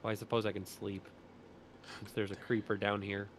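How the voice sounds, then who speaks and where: Casting: male gamer dad, slightly low voice, relaxed dry humour, casual banter, faint hiss of noise. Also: moderate pace. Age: adult, parent of a young child. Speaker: gamer, a father playing with friends. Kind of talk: casual group game chat. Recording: faintly noisy gaming voice chat.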